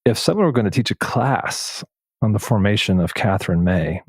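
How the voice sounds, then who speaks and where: A man with a low voice, recorded dry and close with no room sound. He speaks as a podcast host in a reflective, conversational way, pausing as he searches for the right phrasing. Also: animated, husky, no room sound. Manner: thoughtful, searching phrasing with pauses.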